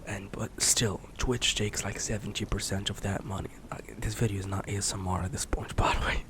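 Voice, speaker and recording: A man in his late twenties, a streamer rambling in a soft-spoken, candid way, swearing casually and slipping into whispers. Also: on the low side, fairly even intonation, brisk. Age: 20s. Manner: candid, swears casually, many fillers and restarts; drifts between soft speech and whisper.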